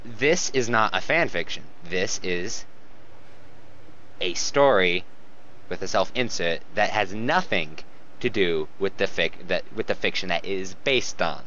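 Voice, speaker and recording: A young man in casual fan chat, halting but opinionated, on a lo-fi voice call. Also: low-pitched, lively intonation, pushed and bright, a little hiss or hum. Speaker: co-host commentator.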